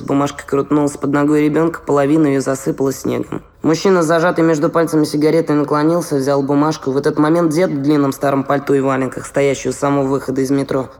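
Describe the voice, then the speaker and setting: Male narrator, audio drama: lightly high pitch, brisk, plain descriptive delivery. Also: a little mellow. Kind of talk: scripted narration.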